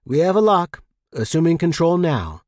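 Man acting a wry space traffic controller, animated. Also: a little high, normal speed, dry recording, studio quality, pitched above the performer's own voice. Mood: casual.

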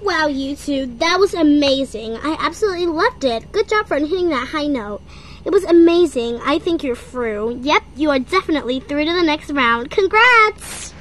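A woman voicing blunt talent show judges, speaking a little lower than her own voice, frank and dismissive. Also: animated, moderate pace, a bit bright, slight background noise. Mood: praising.

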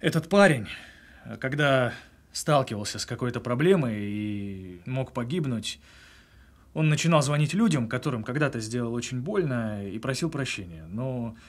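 An actor playing an evasive, self-justifying husband in a naturalistic audio drama, speaking in a halting, husky way. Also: male voice, mid-range voice, lively intonation, close to an everyday voice. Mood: earnest, self-justifying. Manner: halting, pausing mid-confession. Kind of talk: naturalistic audio drama with murmured inner monologue.

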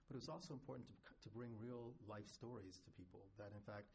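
A man on a conference panel, reflective and halting, with many restarts. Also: mid-range voice, darkish tone, a little hiss or hum. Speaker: foundation representative on panel. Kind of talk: panel discussion.

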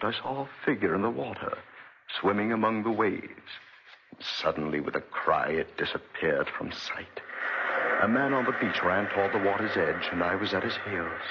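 A man's voice: deep voice, husky, exaggerated voice. An actor playing a vengeful, grief-maddened man in 1940s radio drama style, grave and theatrical. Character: vengeful, grief-maddened man, escaped debtor turned obsessive avenger. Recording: muffled, dull 1940s radio recording.

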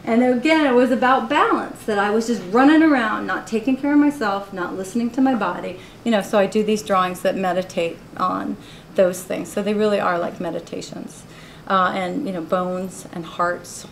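A woman in her forties giving a gallery talk about her own artworks, candid and quick to laugh, with many "you know"s. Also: medium pitch, gravelly. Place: college art gallery. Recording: roomy live recording with faint noise.

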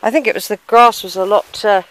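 Middle-aged woman, casual walking vlog, recorded on a handheld camera outdoors. Mid-pitched, hesitant and musing, given to sighs. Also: steady tone, fairly quick. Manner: musing, hesitant, sighs, reads gravestones aloud. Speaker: family history vlogger. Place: outdoor churchyard in the countryside. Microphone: handheld camera, unseen narrator behind it.

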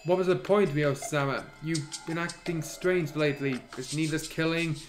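A man's voice: a little high, fairly even intonation, normal speed, soft background music, close to an everyday voice. A man voicing a loyal but troubled female villain warrior, speaking in a pleading, uneasy way.

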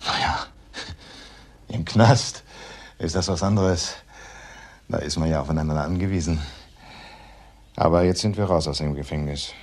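An actor playing a guarded, evasive male ex-convict in a crime drama, with a husky voice and hesitant, curt answers. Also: a bass voice, moderate pace, long pauses.

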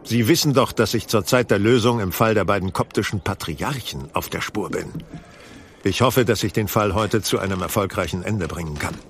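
Audio drama detective, male actor, lowish voice, composed and dryly ironic, measured articulate delivery over noticeable background ambience. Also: a little hiss or hum, lightly acted. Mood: brisk, businesslike. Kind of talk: German audio drama acting.

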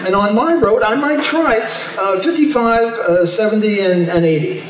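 A man's voice: high-pitched, moderate pace, distant mic, slight background noise. Wry older man in an echoey ballroom. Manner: chatty and wry, self-amused asides. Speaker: economist speaking at a convention. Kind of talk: informal convention talk. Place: large hotel ballroom stage. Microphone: handheld mic.

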